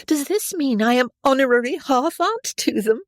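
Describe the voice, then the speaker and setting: An impulsive young noblewoman of seventeen, as a woman reader puts her on, chattering eagerly in a bright, girlish voice that sits higher than the narration. The recording is dry and close, with no room sound. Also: animated, normal speed, dry recording. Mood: teasing. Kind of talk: audiobook character voice.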